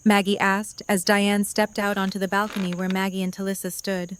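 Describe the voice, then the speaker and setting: A woman reading the narration of an audio drama in an even storytelling manner. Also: mid-range voice, fairly quick, slight background noise. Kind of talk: scripted narration.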